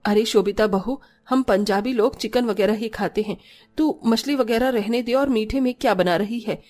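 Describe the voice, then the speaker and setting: A woman's voice: a little high, moderate pace, a little mellow, close to an everyday voice. A woman voicing an older, bossy Punjabi mother-in-law with a commanding, no-nonsense manner. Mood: approving.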